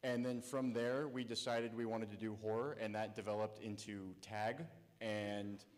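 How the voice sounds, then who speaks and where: A man's voice: lowish voice, flat delivery, measured pace, a little mellow. A young man, a student filmmaker, speaking casually at a festival Q&A on a theater stage. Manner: casual, with fillers. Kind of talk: answering questions at a festival Q&A. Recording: reverberant hall with audience.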